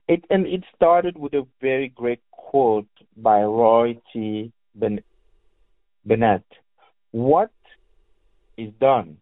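A man from Cameroon with a Cameroonian accent, a guest talking and reading aloud in deliberate, halting phrases. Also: medium pitch. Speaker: guest reader, educator and engineer. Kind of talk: phone interview with reading aloud from a book. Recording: echoey, tinny phone-like line.

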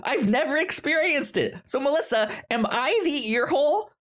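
A man co-hosting a podcast in casual comedic chat, telling stories in a rambling, animated way with stammered repeats and acted-out quotes. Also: very high voice, moderate pace. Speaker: podcast co-host.

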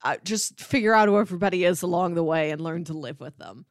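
A woman's voice: fairly low pitch, fast talker, no room sound, clean studio sound. A woman co-hosting a show, talking in a casual, conversational way. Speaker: podcast co-host. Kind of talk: casual chat about TV sitcoms.

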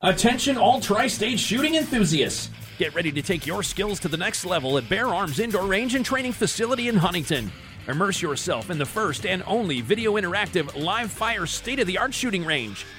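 A man's voice: high-pitched, big pitch swings, a little fast, fairly bright tone, faint music behind. A man reading a scripted promotional radio advertisement. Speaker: commercial voice-over.

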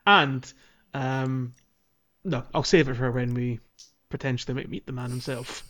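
A man's voice: mid-range voice, steady tone, unhurried, a bit bright. A man co-hosting a podcast, chatting casually about a video game. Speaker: podcast co-host.

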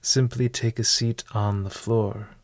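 A man narrating a guided meditation in a formal, measured, literary manner. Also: on the low side, flat delivery, darkish tone, no room sound.

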